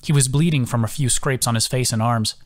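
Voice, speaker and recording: A man's voice: medium pitch, brisk. A man reading scripted fantasy fiction as an audiobook narrator. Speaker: audiobook narrator, author reading own book.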